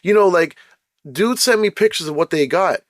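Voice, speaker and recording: Man, vlog host, emphatic ranting, hoarse, higher-pitched, dry. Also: lively intonation, normal speed, dry recording. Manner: blunt, emphatic, repeats points. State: losing his voice, throat-clearing. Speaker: channel host. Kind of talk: casual ranting commentary.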